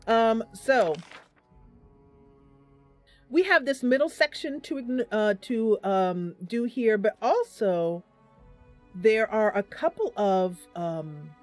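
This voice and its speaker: Slow-paced woman streaming a game. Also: on the high side, lively intonation, soft background music. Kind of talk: casual live gameplay commentary with roleplay narration.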